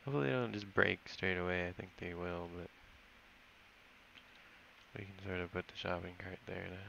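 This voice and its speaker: A man's voice: low-pitched, steady tone, normal speed, vocal fry, a bit bright, lo-fi sound, slight background noise. An American man from California, rambling and correcting himself as he thinks aloud in a casual game dev log recorded at his computer. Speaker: game developer.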